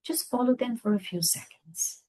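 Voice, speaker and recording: A woman leading a guided meditation, speaking gently in unhurried phrases with long pauses. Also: fairly high pitch, poor audio. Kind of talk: guided meditation, slow soothing instruction.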